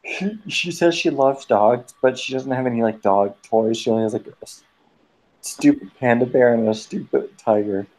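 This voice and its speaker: A man's voice: mid-range voice, normal speed, a little mellow, low-quality recording. A man hosting a casual voice chat stream, teasing his friends and swearing a lot.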